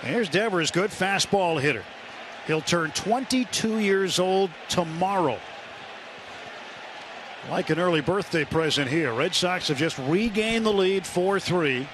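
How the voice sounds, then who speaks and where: A radio play-by-play man with a fairly high voice calls a baseball game. His phrasing is clipped and descriptive, ready to lift for big plays, and clear stadium noise sits behind him. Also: lively intonation, measured pace. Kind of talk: live baseball play-by-play commentary. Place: ballpark broadcast booth. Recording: radio broadcast with loud ballpark crowd noise.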